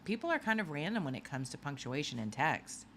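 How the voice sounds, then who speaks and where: Excitable curious girl voiced by a woman. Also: low voice, fairly quick, slight background noise, heavily acted. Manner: excitable, questioning. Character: lively, curious girl, the boy's best friend.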